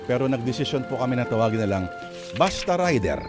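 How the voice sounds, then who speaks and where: Animated, declamatory Filipino male radio host. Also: mid-range voice, fast talker. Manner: declamatory, mock-heroic. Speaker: host and narrator. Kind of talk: theatrical radio drama introduction. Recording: music bed behind the voice.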